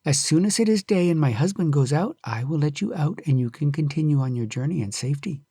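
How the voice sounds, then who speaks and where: A storyteller voicing a kind, frightened farm wife who speaks gently and urgently. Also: medium pitch, lively intonation, a little fast, husky, no echo, studio quality. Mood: kindly urgent. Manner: gentle, warning, then pleading.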